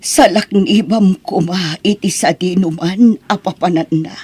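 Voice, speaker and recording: A woman plays a worried older mother of a grown son. Her voice is low and mellow. She pleads and frets in radio drama style. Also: measured pace, slightly rough, no echo, faint music behind. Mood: distressed.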